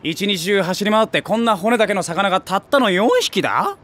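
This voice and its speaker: An actor playing an ambitious, streetwise stray tomcat, a small-time gang big brother with brash, rough talk in a voice drama. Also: male voice, sits high, brisk, a bit bright. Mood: exasperated. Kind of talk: Japanese voice drama acting.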